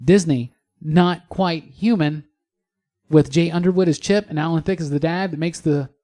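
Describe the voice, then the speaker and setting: A man's voice: fairly high pitch, moderate pace, warm tone. A man co-hosting a podcast in casual pop culture chat, talking with a fan's enthusiasm and emphatic words. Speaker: podcast co-host.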